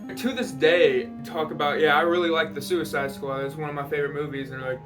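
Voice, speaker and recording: A man giving a casual, rambling movie commentary, exasperated and quick to swear. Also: fairly high pitch, fairly even intonation, a bit bright, soft background music. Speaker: video commentator.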